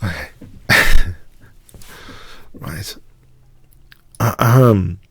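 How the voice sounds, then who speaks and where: A man's voice: deep voice, lively intonation, very slow, fairly bright tone, slight background noise. A man with a cold commentating on his own blitz chess games, hesitant and prone to stammering restarts. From not from the United States. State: congested with a cold. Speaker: chess streamer.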